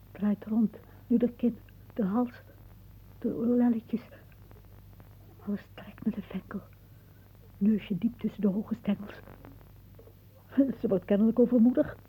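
An actress playing a sardonic, rambling old aunt in a radio play, given to suggestive musings and muttering. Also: female voice, mid-range voice, slight background noise. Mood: muttering, watchful. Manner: muttering musings, then calling out loudly. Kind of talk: theatrical radio play acting. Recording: narrow, tinny band like old radio.

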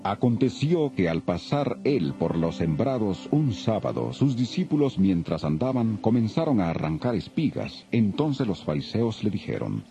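Deep-voiced man narrating scripture. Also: big pitch swings, moderate pace, hardly any pauses, mellow. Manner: solemn storytelling. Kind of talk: dramatic scripted Bible narration. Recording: dull lo-fi, music behind.

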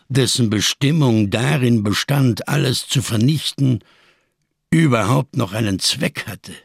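Embittered male survivor, husky and cracked, close dry sound, solemn biblical rhetoric, radio drama monologue. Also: on the low side, dry recording. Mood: grave, measured. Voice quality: gravelly, husky. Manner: solemn, rhetorical, biblical diction, bitterly sardonic.

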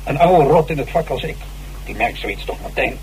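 A man's voice: mid-range voice, animated, moderate pace, darkish tone, close to an everyday voice. A man in a Dutch radio drama playing an agitated, boastful old stage actor who stammers theatrically and defensively. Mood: evasive, boastful. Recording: noisy, humming old radio recording.

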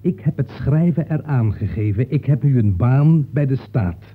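An actor in a Dutch radio play, playing an irritable, rattled writer who talks in a curt, exasperated way. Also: male voice, mid-range voice, lively intonation, normal speed, slight background noise, close to an everyday voice. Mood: firm, exasperated. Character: rattled, irritable male novelist, curt and weary. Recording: muffled phone-like old radio recording.

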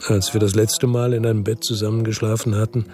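An actor playing a weary, brooding middle-aged narrator in a radio drama, speaking in a somber, measured, reflective way. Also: male voice, lowish voice, flat delivery, mellow, faint music behind, natural voice. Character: weary, brooding middle-aged male first-person narrator, world-worn investigator.

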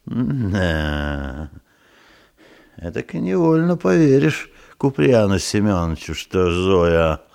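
Gravelly, flustered official, wildly expressive. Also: male voice, low voice, slow, full character voice. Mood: obliging, amused. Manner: muttering, hesitant, exclamatory. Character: flustered male head of a youth science society, anxious, eager to please. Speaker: actor in a radio play. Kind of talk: theatrical Soviet radio drama acting.

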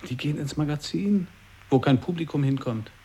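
A man's voice: on the high side, fairly quick, dark tone, husky. An actor playing a dry, boastful man who tells absurd tales in colloquial, matter-of-fact dialogue for a radio play. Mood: explaining calmly.

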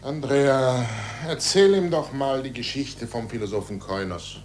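An actor playing an impassioned, stubborn scientist with emphatic, rhetorical delivery in a 1940s German radio play. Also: male voice, medium pitch, animated, a little slow, crowd noise, lightly acted. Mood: insistent. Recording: faint noise and hum, vintage radio.

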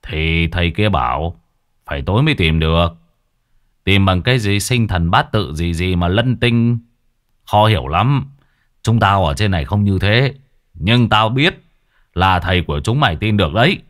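A man voicing a weary old male mountain shaman, talking low and gruff. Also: very animated, a little slow, pauses often, a bit bright, full character voice. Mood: grave.

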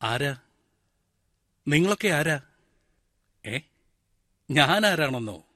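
A man's voice: on the high side, expressive, drawn-out words, bright, forceful tone, dry recording, studio quality, exaggerated voice. A middle-aged actor playing a retired village postman in a one-man radio play, talking straight to his listeners and musing. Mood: puzzled. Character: retired village postman, wistful, chatty, brooding. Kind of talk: 1990s-style radio monologue acting.

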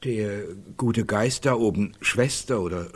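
An actor playing a hesitant, wry brother in a German radio drama, halting and husky. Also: male voice, lowish voice.

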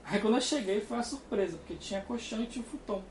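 A man's voice: high-pitched, lively intonation, fast, darkish tone, a little hiss or hum. A young man from Brazil with a Carioca accent, chatting casually and rambling, laughing often, talking from a dorm room. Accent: Carioca Brazilian Portuguese. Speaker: guest. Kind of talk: casual chat.

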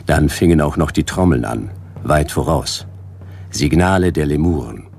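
A world-weary, hard-boiled detective with a very deep, gravelly voice. He is terse, wry and deadpan, narrating a sci-fi radio play over clear background music. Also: moderate pace. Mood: tense, terse narrating. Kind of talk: radio drama narration and dialogue.

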